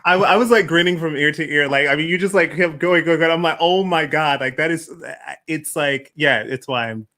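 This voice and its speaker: A man's voice: a little high, normal speed, hardly any pauses, bright, forceful tone. A man hosting a casual, conversational interview, effusive and encouraging, often repeating words for emphasis. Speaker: host, interviewer.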